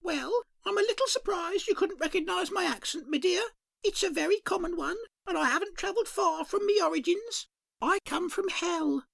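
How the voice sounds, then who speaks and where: Storybook beaver shopkeeper, voiced by a woman: high, bouncy, sing-song, dearie-this-and-that, with a crackly grain. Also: normal speed, vocal fry, fairly bright tone, no echo, exaggerated voice. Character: chirpy talking female beaver shopkeeper.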